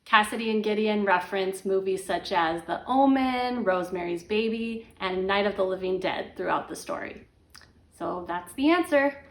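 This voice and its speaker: In a clearly echoey room, a woman with a mid-pitched voice speaks as an author. She presents a trivia question in a friendly, straightforward way. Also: moderate pace. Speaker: young adult mystery author.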